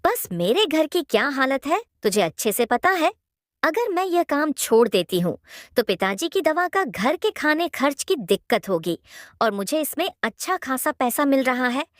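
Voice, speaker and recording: A determined young village woman from an audio drama, voiced by a woman, speaks high and with great animation. She is spirited and questioning, in a dry, close recording. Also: big pitch swings, normal speed, dry recording. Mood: insistent, questioning. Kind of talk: dramatised Hindi audio story acting.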